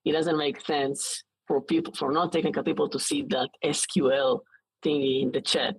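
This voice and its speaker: A man in his late twenties with an Israeli accent, talking casually as an interview guest in a halting way with frequent false starts. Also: on the high side, steady tone, vocal fry, husky, dry recording, rough recording.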